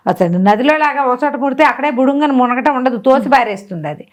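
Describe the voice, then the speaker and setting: A woman storyteller with a slightly high voice. She retells a folk tale as if chatting, putting questions to the listener and acting out what the characters say. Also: fast. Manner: animated retelling with rhetorical questions and acted-out dialogue.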